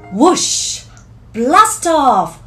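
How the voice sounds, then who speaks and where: A woman in her thirties reading a picture book aloud to children, expressive and deliberate, drawing out key words in a small room. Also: sits high, faint music behind. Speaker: storyteller, teacher reading to children. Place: small curtained room indoors.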